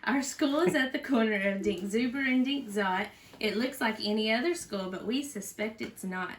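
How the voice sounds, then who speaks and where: A woman reading a children's storybook aloud in an expressive, teacherly way, with chatty asides and questions to a young listener. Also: fairly high pitch, moderate pace. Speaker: parent reading a storybook aloud for a school read-aloud.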